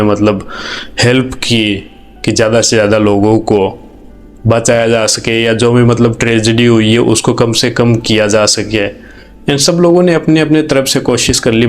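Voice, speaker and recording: Low-pitched Indian man, earnest, music behind. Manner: earnest, conversational, mixing English phrases into talk. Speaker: video host. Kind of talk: informal, reflective commentary to camera.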